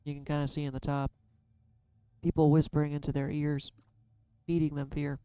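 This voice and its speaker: A soft-spoken man musing aloud in a candid, self-deprecating way as he gives a casual tarot reading. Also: fairly high pitch, monotone, moderate pace, clean tone, dry recording, low-quality recording. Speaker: tarot reader. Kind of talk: casual reflective vlog.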